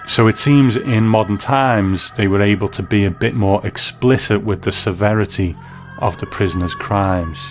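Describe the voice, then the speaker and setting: A man's voice: on the low side, normal speed. A man giving scripted review commentary as a narrator. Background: music bed.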